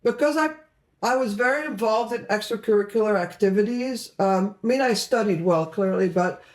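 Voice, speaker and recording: Woman, middle-aged, from Belgium, interview guest, casual storytelling, medium pitch. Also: normal speed. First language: Flemish. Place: indoor home room, video call.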